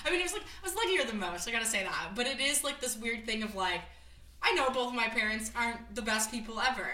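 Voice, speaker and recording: A woman hosting a podcast, chatting casually about a movie with a naturally loud voice, laughing often and rambling with lots of fillers. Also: fairly high pitch, expressive, fast talker, reverberant. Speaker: podcast host. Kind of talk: casual movie review chat.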